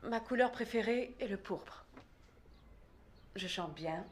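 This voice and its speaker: A woman's voice: mid-range voice, slow pace, a little hiss or hum, lightly acted. A woman dubbing a patient, firm adult-education teacher in a French film dub. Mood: calm.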